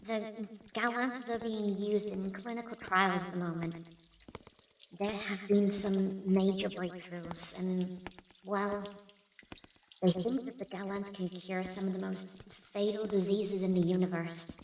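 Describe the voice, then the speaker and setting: A woman playing a subdued, guarded field researcher in a sci-fi audio drama, speaking in a halting, matter-of-fact way. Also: mid-range voice, fairly even intonation, natural voice. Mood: somber, reluctant. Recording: echoey, distant, faint noise.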